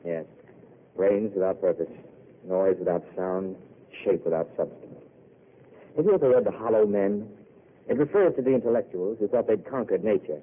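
A weary, self-mocking wanderer, played by a man, with a lowish voice and an eloquent, wry way of speaking. The sound is thin and phone-like, from an old radio broadcast. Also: normal speed, pauses often, a little hiss or hum, close to an everyday voice. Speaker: wandering failed writer. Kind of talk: 1930s radio drama acting.

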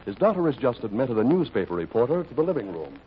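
A man acts as a vintage mystery-show announcer. He narrates formally and dramatically with wide swings of pitch, on a muffled old radio recording with music behind him. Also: big pitch swings, background noise, close to an everyday voice. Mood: dramatic, formal. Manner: theatrical, measured, formal.

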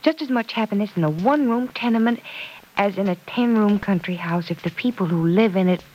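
An actress playing a gentle, sensible, sympathetic woman friend who reassures tenderly and in measured words in an old radio drama. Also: mid-range voice, highs cut off, close to an everyday voice. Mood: gentle, sincere. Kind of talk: 1940s radio drama acting. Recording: old radio recording with background noise.

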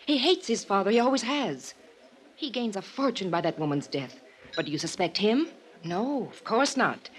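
A woman's voice: mid-range voice, sing-song, normal speed, a little hiss or hum, natural voice. An actress playing an intense married woman fiercely defending a man, with emphatic, dramatic phrasing in old-time radio style. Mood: bitter, vehement. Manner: emphatic, dramatic, repeating words for force.

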